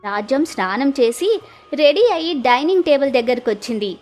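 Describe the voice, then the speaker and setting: A woman narrates a scripted village tale as storyteller. Her voice sits high, and music plays in the background. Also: sing-song, normal speed, darkish tone.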